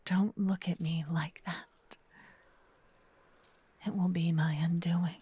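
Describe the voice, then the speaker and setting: A woman voicing a gentle, possessive, seductive female vampire lover in a breathy, intimate whispered ASMR roleplay, close to a binaural microphone. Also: fairly low pitch, steady tone, no echo, heavily acted. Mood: yearning, pleading. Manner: slow, intimate, lingering delivery with solemn romantic phrasing. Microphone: close binaural microphone, ear to ear.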